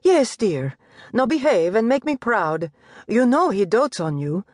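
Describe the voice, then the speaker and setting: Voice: mid-range voice, big pitch swings, measured pace, no echo, voice pushed up. A narrator voicing a doting royal mistress who coaxes her son with sweet Italian endearments, in a dry, close sound. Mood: coaxing. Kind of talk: audiobook character voice.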